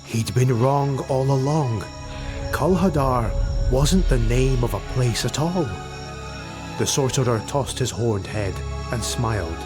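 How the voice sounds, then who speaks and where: A man reading a fantasy audiobook as narrator in a measured, dramatic style. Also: mid-range voice, music in the background.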